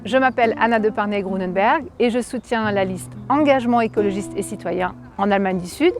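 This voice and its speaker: Une femme qui lit une annonce préparée d'un ton régulier et posé. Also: female voice, mid-range voice, brisk, music in the background. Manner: even, scripted delivery. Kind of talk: read announcement.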